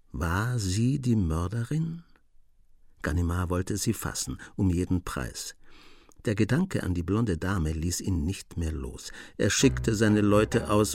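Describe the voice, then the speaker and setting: Low-pitched male radio drama narrator. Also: darkish tone, no room sound.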